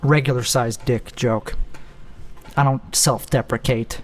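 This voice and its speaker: A man's voice: medium pitch, fairly even intonation, measured pace. A young man hosting a casual solo show, talking bluntly and rambling with self-mocking asides. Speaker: podcast host.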